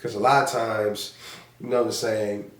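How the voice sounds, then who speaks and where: Hoarse man, podcast co-host, rambling, swears a lot, roomy sound, set back from the mic. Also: lowish voice, little pitch movement, unhurried, recorded from a distance. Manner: rambling, repeats himself, curses freely. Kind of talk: casual podcast chat.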